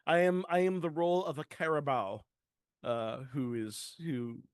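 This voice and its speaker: A man's voice: on the high side, lively intonation, a little slow, clear, resonant tone, no room sound. Hesitant man from San Diego, dry mic. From San Diego, California. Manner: hesitant, full of ums and restarts. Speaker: podcast co-host. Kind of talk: casual podcast chat.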